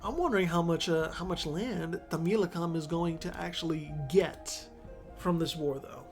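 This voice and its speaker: A man's voice: a little high, normal speed, soft background music. A man commentating on a strategy game in a casual, rambling way, correcting himself as he goes and sounding congested. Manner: chatty, rambling, self-correcting.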